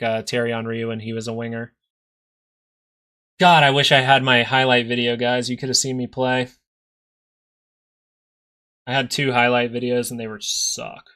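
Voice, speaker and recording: A man's voice: mid-range voice, bright, forceful tone. A man in his thirties hosting a live stock market stream, chatting casually between chart analysis and answers to viewer questions. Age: 30s. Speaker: stock market livestream host.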